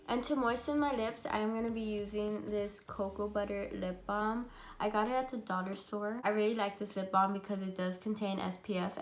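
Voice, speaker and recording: Raspy congested young woman vlogger. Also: mid-range voice. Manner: chatty and bubbly, many fillers. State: hoarse and congested from a cold. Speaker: beauty vlogger. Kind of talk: casual makeup tutorial chat. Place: at home.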